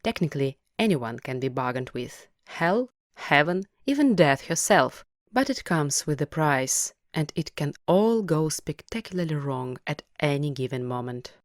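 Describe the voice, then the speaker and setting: A woman's voice: deep voice, expressive, unhurried, hardly any pauses, no echo, studio quality. A woman podcast co-host reading scripted explanatory commentary.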